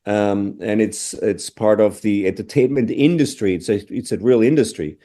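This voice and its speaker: Mellow-voiced Austrian man, thoughtful. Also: fairly low pitch, normal speed. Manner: thoughtful, restarts phrases, frequent "you know". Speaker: interview guest, drummer. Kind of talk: casual interview answers.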